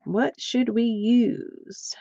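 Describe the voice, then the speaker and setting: A woman's voice: on the high side, fairly even intonation, drawn-out words, gravelly, dark tone, dry recording, dull audio. A middle-aged woman from Pennsylvania, chatting casually with viewers while she crafts on a livestream. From central Pennsylvania.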